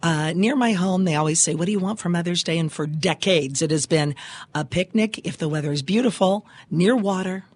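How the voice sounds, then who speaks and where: A hoarse older woman with a froggy voice, co-hosting a live call-in radio show in an upbeat, chatty way. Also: fairly low pitch, a little fast, a little mellow, no echo. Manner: chatty, upbeat, laughs often. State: hoarse from allergies. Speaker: radio co-host.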